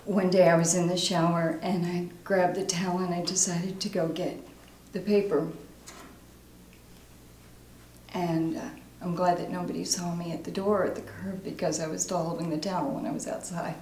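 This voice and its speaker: A woman performing a dramatic monologue as a guilt-ridden recovering alcoholic mother, halting, sombre and confessional. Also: lowish voice, little pitch movement, a little mellow, close to an everyday voice. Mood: subdued, ashamed. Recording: roomy echo, faint noise.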